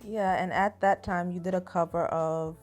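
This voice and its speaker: Low-pitched woman interviewer. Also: steady tone, normal speed, darkish tone, soft background music. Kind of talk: casual interview questions.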